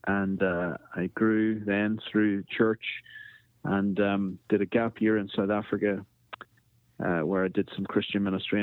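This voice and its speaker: A middle-aged man with a Northern Irish accent, a podcast guest telling his story in a measured, reflective way. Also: fairly low pitch, no echo. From Northern Ireland. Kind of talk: interview conversation. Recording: tinny phone line.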